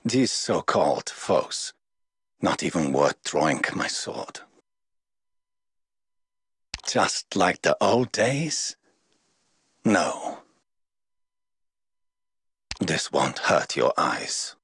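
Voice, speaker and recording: An English actor voicing a weary, aloof middle-aged knight in a game, speaking in a dry, measured, world-weary way. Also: male voice, very deep voice, sing-song, fairly bright tone, breathy edge, full character voice. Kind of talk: game voice lines.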